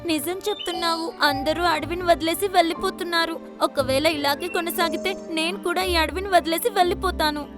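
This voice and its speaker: A tiny, meek sparrow from a kids' cartoon. The female voice is extremely high and plaintive, with children's music clearly behind it. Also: steady tone, fairly quick, hardly any pauses. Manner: plaintive, timid. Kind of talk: children's cartoon story dubbing.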